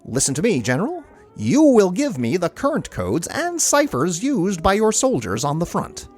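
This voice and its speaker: A man's voice: sits high, huge pitch swings, moderate pace, slightly rough, faint music behind, full character voice. A man playing a scheming, commanding spy villain who poses as a waiter in a comedic audio drama.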